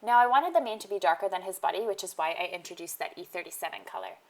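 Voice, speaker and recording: A woman's voice: fairly low pitch, animated, fast. A woman hosting a card-making tutorial, talking casually through each step in a voice-over, sounding nasal from a cold she is getting over. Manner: chatty, explains each step, frequent ums.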